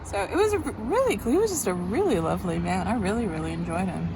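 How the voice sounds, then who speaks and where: A woman chats casually as a vlogger, with an average-pitched voice. She is recorded outside in a public place, and loud ambient noise runs behind everything she says. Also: expressive, fast, vocal fry. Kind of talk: casual chat to camera and companions. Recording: loud outdoor wind and street noise.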